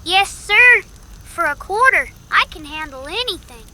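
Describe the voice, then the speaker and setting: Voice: very high-pitched, lively intonation, measured pace, sharp tone, a little hiss or hum, heavily acted. A performer playing an eager, teasing young frontier boy in a Western radio drama. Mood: cheeky.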